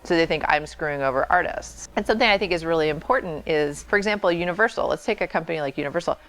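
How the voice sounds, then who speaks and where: A woman's voice: low voice, very fast, fairly bright tone. A woman being interviewed as a label president, explaining things informally in a plainspoken, emphatic and wry way.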